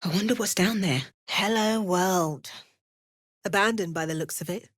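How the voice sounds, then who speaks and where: An actress playing an adventurous, wry woman hero in a fantasy video game, with a measured, understated delivery. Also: fairly low pitch, dry recording, clean studio sound, lightly acted. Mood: musing, wry. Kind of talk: scripted fantasy game dialogue.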